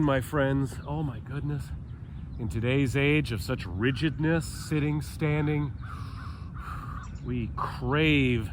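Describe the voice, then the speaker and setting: A man leading a stretching routine as fitness instructor, cueing calmly and chatting in between, with a slightly stuffy nose, outdoors. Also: medium pitch, background noise. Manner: calm, chatty cueing with breathy sighs.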